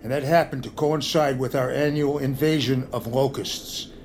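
A man's voice: mid-range voice, steady tone, moderate pace, a little mellow, a little hiss or hum, natural voice. An actor playing a folksy rural man in a comedy radio play who rambles through tall tales.

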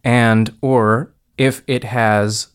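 A man's voice: mid-range voice, steady tone, very slow, clean tone. A man chatting casually as a podcast guest and audio producer, thinking aloud in an informal way. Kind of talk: casual chat.

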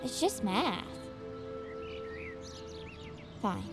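A woman's voice: mid-range voice, wildly swooping pitch, measured pace, music in the background. An actress playing a skeptical, downhearted young woman with dry wit and a reluctant manner, in audio fiction. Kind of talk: naturalistic audio fiction acting.

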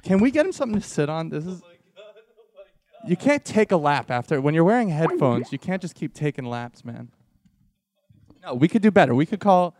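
A man chatting casually as a prank-call podcast host, excitable and jokey. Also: fairly high pitch. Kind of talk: casual chat and prank calling.

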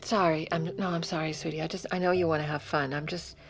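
A woman's voice: low voice, fairly even intonation, fast talker, creaky, soft background music. An actress playing a shrewd, sardonic First Lady who secretly steers the presidency in an audio drama. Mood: anxious scheming. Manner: dry, sardonic, conspiratorial.

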